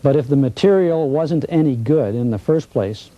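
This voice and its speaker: A man's voice: mid-range voice, expressive, moderate pace, mellow, rough recording. An American man, a radio broadcaster, talking reflectively in an interview.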